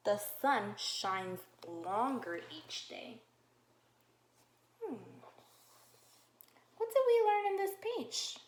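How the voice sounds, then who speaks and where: Slow, sing-song kindergarten teacher reading aloud. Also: female voice, on the high side. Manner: slow, encouraging, exaggerated sing-song questioning. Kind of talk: reading a picture book aloud to young children. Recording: roomy echo.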